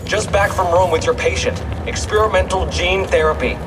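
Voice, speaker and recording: A man plays a businesslike accomplice in a game drama. His high voice is brisk and efficient, coming from far off in an echoing hall. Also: steady tone, over music, natural voice. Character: brisk, businesslike accomplice briefing a covert team. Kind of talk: video game dialogue. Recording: distant, echoing large hall.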